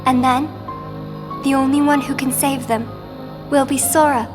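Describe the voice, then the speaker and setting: A gentle teenage girl in a video game, speaking softly in a high, slow voice. Loud music plays under her words throughout. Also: fairly even intonation. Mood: wistful. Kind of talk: video game cutscene acting.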